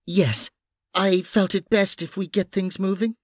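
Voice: mid-range voice, huge pitch swings, a little slow, no echo, studio quality, pitched above the performer's own voice. A performer voicing an indignant woman veterinarian, nervous but fiery, with an emphatic, scolding manner in an audiobook.

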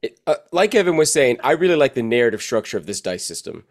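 A man's voice: mid-range voice, very animated, fast talker. Easygoing man chatting as a player. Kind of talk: casual podcast chat.

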